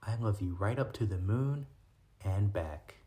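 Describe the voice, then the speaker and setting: A man voicing a big grown hare from a storybook, gentle and fond, like an indulgent father. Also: lowish voice, lively intonation, measured pace, noisy background. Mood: tender. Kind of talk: storybook character voice.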